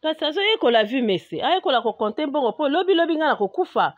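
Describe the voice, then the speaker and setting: A woman with a Congolese accent playing an indignant, scolding market-trader mother in an animated comedy. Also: high voice, fast, dry recording, muffled recording. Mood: indignant, defensive.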